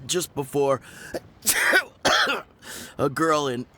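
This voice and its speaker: A man voicing an earnest, dutiful guard in a video game, halting and coughing, under the weather. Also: mid-range voice, steady tone, heavy background noise. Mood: sickly, apologetic. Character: earnest, dutiful male guard soldier, sniffly but resolute. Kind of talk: video game character acting.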